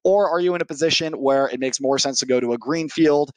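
A man's voice: medium pitch, fairly quick, no echo. A man in his thirties giving business answers as an interview guest, speaking into a headset on a video call. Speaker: technical sales executive, podcast guest. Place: home living room, indoors, on a video call. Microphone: headset mic.

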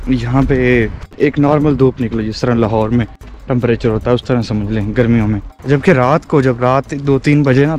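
A man's voice: medium pitch, a little mellow, slight background noise. A man in his twenties with a Pakistani accent, chatting informally to camera as a travel vlogger outdoors. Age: 20s. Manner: informal, chatty, addresses viewers as brother. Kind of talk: casual vlog narration to camera. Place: outdoors on streets and in gardens. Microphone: handheld action camera at arm's length.

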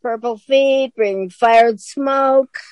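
A woman's voice: on the high side, slow pace, darkish tone, no room sound. A woman acting a flippant, offhand male knave, a petty thief in a comic radio drama. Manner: flippant, casual.

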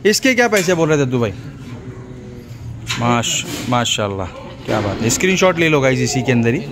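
A man's voice: medium pitch, big pitch swings, moderate pace. A middle-aged man casually touring a goat farm as a vlogger, admiring the animals and reading out weights and prices. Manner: admiring, repeats figures aloud, frequent exclamations of praise. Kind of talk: casual walkthrough chat. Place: outdoor goat farm sheds. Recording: outdoor farm noise with goats bleating. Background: goats bleating, farm ambience.

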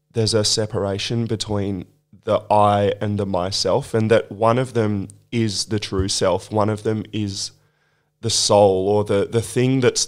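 A hoarse man who has lost his voice, hosting a recovery podcast and musing in a halting, reflective way. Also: fairly low pitch. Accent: British. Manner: halting, with many restarts. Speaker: podcast host. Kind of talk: reflective casual chat.

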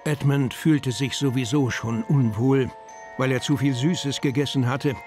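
A man's voice: mid-range voice, fairly even intonation, measured pace, a little mellow. Male storybook narrator over music. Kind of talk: scripted storybook narration for an audio drama.

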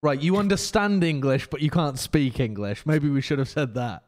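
A man co-hosting a casual podcast, making dry deadpan comebacks into a desk mic in a studio room. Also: on the high side, normal speed. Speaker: podcast co-host. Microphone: desk microphone.

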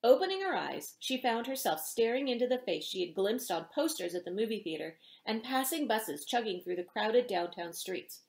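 A woman's voice: on the high side, big pitch swings, moderate pace, creaky, hardly any pauses. Middle-aged woman reading aloud in a roomy space. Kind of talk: expressive audiobook-style story reading. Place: small indoor room with bookshelves. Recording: roomy home echo.

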